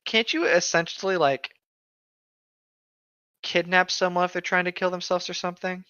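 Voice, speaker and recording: Muffled, dry lo-fi sound; male co-host, expressive, flippant and sweary, casual joking chat. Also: sits high, normal speed, clear, resonant tone, no room sound. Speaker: podcast co-host. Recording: dull lo-fi voice track.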